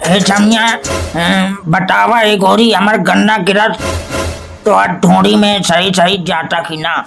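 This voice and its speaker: High, creaky old cartoon uncle. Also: male voice, steady tone, slow pace, fairly bright tone, soft background music, full character voice. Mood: playful. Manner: rustic, rambling, protesting. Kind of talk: Hindi comedy cartoon dialogue.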